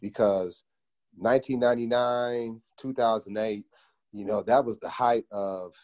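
A man's voice: fairly low pitch, steady tone, unhurried, darkish tone. An American man hosting a conversational interview, reflecting thoughtfully and affirming his guest. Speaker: interviewer, book podcast host.

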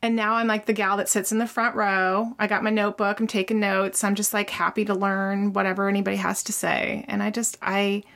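A woman's voice: medium pitch, flat delivery, brisk, hardly any pauses, fairly bright tone. A woman co-hosting a podcast, chatty and laughing easily in a casual interview. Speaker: podcast co-host.

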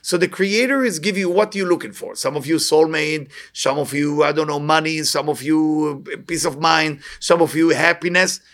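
A man's voice: a little high, moderate pace, hardly any pauses, a bit bright. A man with an Israeli accent giving an inspirational talk as a spiritual teacher, emphatic and repeating short phrases. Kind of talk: inspirational talk with anecdotes to a live audience.

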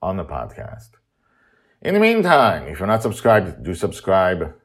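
A very deep-voiced man presents a storytelling podcast. His voice crackles with fry, and he swings widely in pitch as he stresses his phrases and leaves dramatic pauses. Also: huge pitch swings. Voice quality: gravelly, husky. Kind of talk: animated podcast hosting and scripted storytelling.

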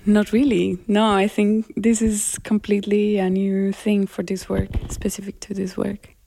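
A woman's voice: mid-range voice, little pitch movement, normal speed, vocal fry, warm tone. A woman from Colombia with a Colombian Spanish accent, a native Spanish speaker, talking thoughtfully with hesitations as a musician being interviewed. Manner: thoughtful, hesitant, laughs often. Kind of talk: interview conversation.

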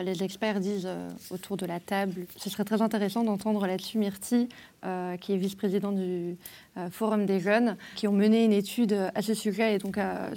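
A woman moderates a panel in a flat, even delivery with a mellow, dark tone. She is calm and measured as she hands over to the next speaker. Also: medium pitch. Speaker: host.

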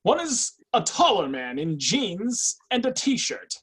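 An excitable office worker acted by a woman, with extremely swooping pitch and a husky edge. The character is argumentative and easily worked up, in an absurd stage play. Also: slow pace, heavily acted. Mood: observing. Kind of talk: play reading.

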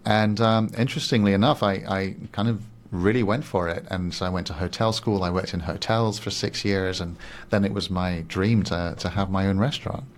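A Swiss-born man in his thirties, a guest coach on a radio interview, speaking in a soft, reflective way and often repeating words as he gathers his thoughts. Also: low voice, steady tone, a little fast, a little hiss or hum. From Switzerland.

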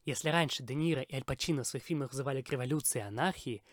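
Guy hosting a movie podcast, fast scripted review, opinionated, dry close sound. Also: mid-range voice, no room sound. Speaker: podcast host, film reviewer.

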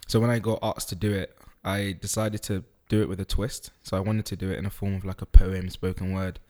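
Laid-back guy, lowish voice, chatting on a podcast, lots of ums. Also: flat delivery, warm tone. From East London, UK. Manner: easygoing, unhurried, frequent ums. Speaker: podcast guest. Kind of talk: casual interview chat.

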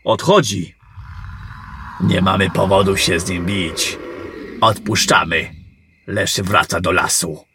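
A man playing a gruff, battle-worn, commanding general in a fantasy audio drama, blunt and suspicious in his questioning. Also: sits low, slow pace, pauses often, background noise. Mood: matter-of-fact. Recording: music behind the voice.

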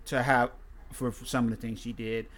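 A man's voice: medium pitch, little pitch movement, moderate pace, fairly bright tone. A man hosting a podcast, chatting casually in a blunt, profane, rambling way. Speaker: podcast host. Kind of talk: casual chat.

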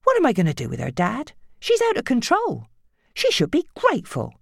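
A woman's voice: on the high side, wildly swooping pitch, fairly quick, creaky, slightly rough, dry recording, studio quality, pitched above the performer's own voice, full character voice. A woman voicing an exasperated, bossy middle-aged mother in an audiobook novel, nagging and scolding. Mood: angry, exasperated.

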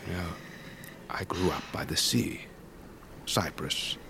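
An actor playing an elderly English retired air force officer, telling his story in a measured, wistful, old-fashioned way for a radio drama. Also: low-pitched, heavily acted. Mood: wistful, reminiscing. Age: older. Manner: measured, old-fashioned, reflective, breathy trailing phrases. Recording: faint background noise.

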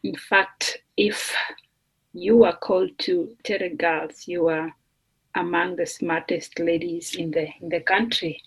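Slow-speaking husky woman from Kenya. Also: lowish voice, fairly even intonation, poor audio. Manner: slow, thoughtful, with fillers and restarts. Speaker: guest, doctoral theology student. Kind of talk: remote podcast interview. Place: remote call.

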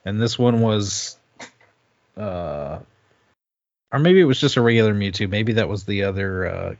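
A man's voice: fairly low pitch, expressive, a little slow, no echo, highs cut off. A man chatting casually as a podcast co-host, tentative and correcting himself as he recalls things. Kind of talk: casual chat.

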